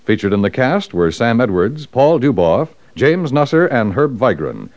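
Sweeping, animated American radio announcer man. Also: mid-range voice, moderate pace. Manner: formal, declamatory. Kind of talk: 1950s radio announcing, scripted credits. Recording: dull, band-limited 1950s radio recording.

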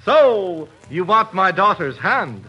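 A man's voice: high-pitched, big pitch swings, slow, husky, lo-fi sound, full character voice. A man playing a fussy tycoon father with dry, grumbling retorts, in a 1940s radio comedy. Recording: loud hiss, 1940s radio recording.

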